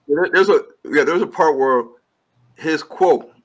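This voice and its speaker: A man's voice: fairly high pitch, unhurried, rough recording. A man, a filmmaker guest, giving long earnest explanations in an interview and restarting his sentences often. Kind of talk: interview answers.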